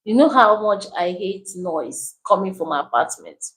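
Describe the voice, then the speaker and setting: A woman calmly explaining her side in a chat with her husband, speaking in a measured, deliberate way. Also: mid-range voice. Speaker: wife co-hosting a couple's channel. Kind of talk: casual chat.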